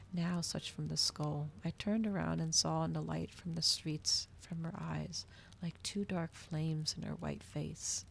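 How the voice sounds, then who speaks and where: A low-pitched woman reads fiction aloud in an ASMR style. Her delivery is hushed and close, with a crackly fry running through it, over a faint bed of noise. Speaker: streamer hosting a live reading. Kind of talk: ASMR storybook reading.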